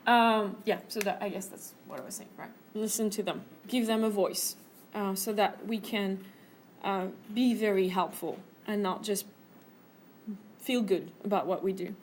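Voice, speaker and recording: A woman's voice: medium pitch, fairly even intonation, measured pace, slight background noise. A woman teaching a class, speaking earnestly with many fillers and a habit of ending points with "right?". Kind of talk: classroom lecture.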